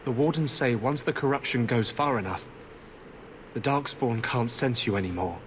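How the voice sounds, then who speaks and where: An actor playing an earnest, tormented former warden mage in a fantasy video game. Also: male voice, medium pitch, normal speed, a little hiss or hum, lightly acted. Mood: thoughtful. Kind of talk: video game cutscene acting.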